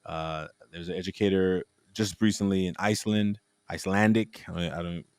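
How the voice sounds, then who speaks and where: A man hosting a casual conversation, telling stories in a rambling, animated way with frequent restarts. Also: low voice, moderate pace, smooth, dry recording. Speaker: podcast host.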